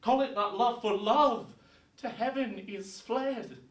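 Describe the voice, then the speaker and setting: A man playing a bashful but disdainful young man in a deliberate, emphatic recital of Shakespeare verse. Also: very high-pitched. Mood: scornful, firm. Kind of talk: theatrical Shakespeare verse monologue. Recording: roomy echo, distant mic.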